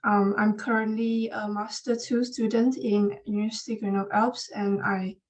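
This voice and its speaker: A woman's voice: mid-range voice, level tone, normal speed, a little mellow. A woman in her twenties from Malaysia, a master's student speaking informally to prospective students with frequent hesitations. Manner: hesitant with many "um"s.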